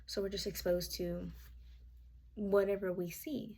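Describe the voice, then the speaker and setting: A woman's voice: medium pitch, steady tone, measured pace, vocal fry, darkish tone, slightly rough. A young woman whose first language is Spanish, giving an informal class presentation from her notes, casual with fillers and self-corrections. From United States. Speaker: student.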